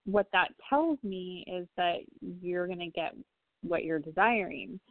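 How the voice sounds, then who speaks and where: A woman psychic medium giving a reading on a phone-in talk show, speaking gently and reassuringly with frequent pauses and ums. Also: lowish voice, no room sound. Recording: tinny phone line, dry.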